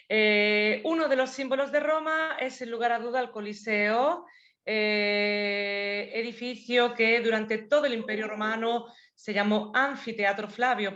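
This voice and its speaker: A woman from Spain with a Spanish accent, a tour guide explaining history in a webinar, pausing often with fillers. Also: medium pitch, a bit bright, lo-fi sound. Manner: hesitant, frequent fillers, explanatory. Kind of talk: webinar presentation. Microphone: video call.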